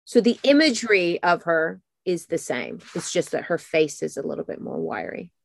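An American woman in casual podcast chat who also reads a book aloud with animated storytelling. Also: fairly low pitch, moderate pace, creaky, dry recording.

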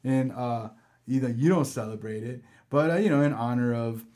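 A man co-hosting a podcast in casual chat, relaxed and rambling with many fillers and restarts. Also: mid-range voice, normal speed. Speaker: podcast co-host.

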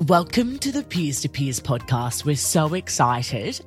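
A woman's voice: low voice, sing-song, measured pace, music in the background. A woman hosting a podcast, reading an upbeat intro and then chatting enthusiastically with her guest. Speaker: podcast host. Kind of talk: scripted intro then interview chat.

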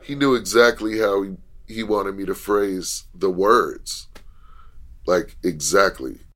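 A man with a deep voice, a trumpet player, recalling studio work in laid-back conversation. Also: slow pace, a bit bright. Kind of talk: casual interview recollection.

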